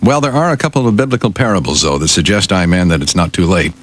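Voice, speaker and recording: An American man working as radio newsreader and sidekick, giving the news and conversing in a mild, measured way. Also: low-pitched, expressive, a little hiss or hum. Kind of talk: news reading mixed with casual banter. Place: radio studio.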